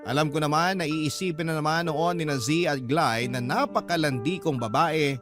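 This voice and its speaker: A man's voice: on the high side, normal speed. A man narrating a scripted first-person story as a radio storyteller reading out a letter. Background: background music.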